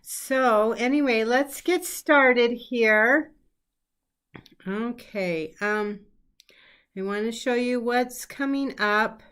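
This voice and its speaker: A middle-aged woman hosting a casual live-stream chat as a quilting teacher, a little hoarse from a cold, chatty with lots of ums and throat clearing. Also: fairly high pitch, drawn-out words, fairly bright tone. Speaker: quilting teacher and show host. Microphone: computer setup, live stream.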